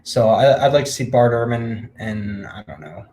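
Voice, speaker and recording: A twenty-year-old man co-hosting a casual Q&A, teasing his friend in a deadpan, mock-serious way. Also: mid-range voice, moderate pace, a little hiss or hum. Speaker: co-host and regular guest. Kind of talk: casual chat.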